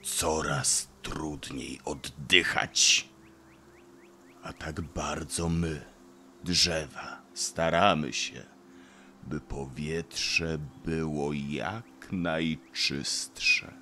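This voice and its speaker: A man playing an ancient, wise and weary grumbling beech tree in an audio drama, sighing and deliberate. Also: extremely low pitch, bright, forceful tone, slight background noise, full character voice. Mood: weary, worried. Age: older. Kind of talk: audio drama character acting.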